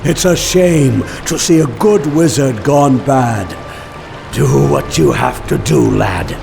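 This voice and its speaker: An actor playing a gruff, weary old human wizard jailer in a video game, firm and regretful. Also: male voice, medium pitch, expressive, slow pace, over music, full character voice. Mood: stern, regretful. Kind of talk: dramatic video game voice acting.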